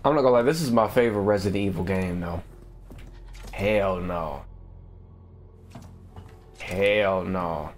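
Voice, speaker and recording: A man's voice: low-pitched, unhurried, long pauses, slight background noise. Slangy, profane young man gaming. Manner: loose, slangy, profane, excitable. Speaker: gaming streamer. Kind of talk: casual let's-play commentary.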